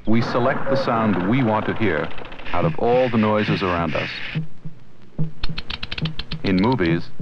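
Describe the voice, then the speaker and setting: Man, deep voice, scripted educational narration, measured delivery, muffled old 16mm film audio. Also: heavy background noise. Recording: dull vintage 16mm film soundtrack.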